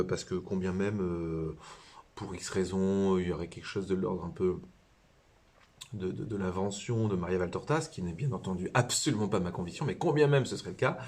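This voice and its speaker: A low, husky male voice of a priest from southern France talking informally about faith. He speaks haltingly, full of fillers and repeated starts, as if thinking aloud to his viewers. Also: a little mellow. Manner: hesitant, many fillers and restarts. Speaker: priest and religious brother. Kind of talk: informal spiritual teaching to camera.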